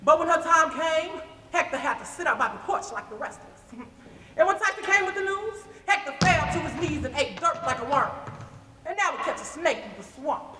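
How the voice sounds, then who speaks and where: A woman's voice: sits high, animated, a little fast, music in the background. A woman acting a haunted, fervent enslaved seer in a dramatic stage monologue, speaking in incantatory storytelling. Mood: intense, grieving. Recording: echoey noisy hall, stage performance.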